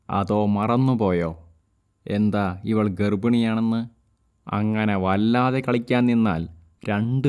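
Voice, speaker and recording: Brief: male actor as a big cartoon tiger, low voice, unhurried threatening delivery. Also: a little mellow, clear, resonant tone. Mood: menacing. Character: big male tiger, menacing then cowed. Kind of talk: cartoon voice acting.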